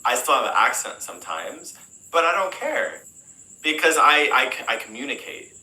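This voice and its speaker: Bright-voiced American man, rambling casually. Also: medium pitch, normal speed, echoey room, poor audio. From United States. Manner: relaxed, rambling, frequent fillers and restarts. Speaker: English teacher. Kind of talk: casual interview answers.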